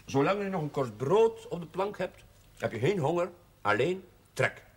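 A measured, persuasive village chaplain. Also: male voice, a little high, sing-song, slightly rough. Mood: good-humoured. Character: male village chaplain, kindly, persuasive, fond of food. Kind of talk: 1970s television drama acting. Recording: noisy 1970s television soundtrack.

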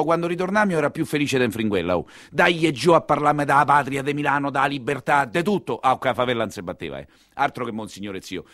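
A man's voice: mid-range voice, fairly bright tone, dry recording, clean studio sound. An actor playing an earthy, talkative 19th-century coachman with a Roman accent, telling his tale in a colloquial theatrical dialect monologue, exclaiming and confiding. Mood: animated. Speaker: stage and radio actor.

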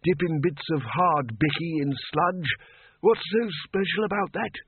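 A man's voice: on the high side, unhurried, husky, no echo, voice pushed up. Windle, a man doing a chirpy ancient wizard. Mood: cheerful. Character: cheerful very old male wizard on his last day. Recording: narrow phone-like sound, dry.

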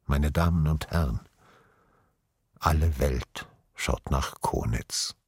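An actor playing a solemn, ironic narrator of a radio drama, speaking in a deliberate theatrical way. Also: male voice, a bass voice, slightly rough, full character voice. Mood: wry, presenting. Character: wry, solemn storyteller of the good old days. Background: music.

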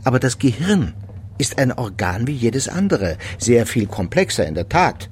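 An actor playing a middle-aged psychiatrist in a German radio drama, explaining in a measured, clinical, matter-of-fact way. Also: male voice, mid-range voice, big pitch swings, a little mellow, slight background noise, close to an everyday voice. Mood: explanatory, composed.

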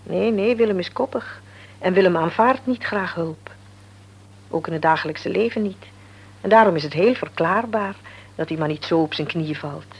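An actress playing a middle-aged mother in a radio drama, explaining things patiently and calmly. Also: fairly low pitch, normal speed, slightly rough, rough recording. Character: calm, wise mother of an adult daughter.

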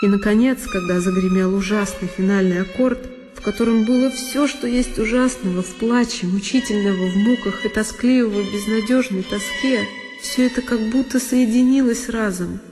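A woman's voice: mid-range voice, moderate pace, hardly any pauses. A woman narrating a literary one-woman audio play in an intense, theatrical manner. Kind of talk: dramatic scripted literary narration. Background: music with solo violin.

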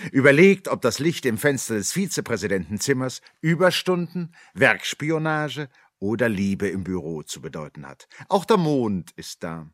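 An actor as the narrator of a radio drama, a wry, gently ironic observer with a measured delivery. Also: male voice, fairly low pitch, dry recording, clean studio sound.

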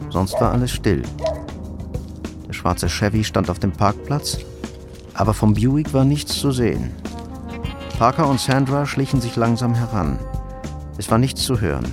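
A man narrating a scripted crime story as the narrator of a radio drama. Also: fairly low pitch. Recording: loud music behind the voice.

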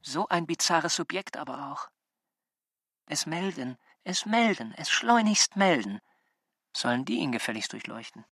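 An actor playing an indignant, fussy, suspicious official in a radio play, agitated and pompous, repeating himself. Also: male voice, fairly high pitch, very animated, unhurried, creaky, pushed and bright, dry recording, studio quality, heavily acted. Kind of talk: German radio play acting.

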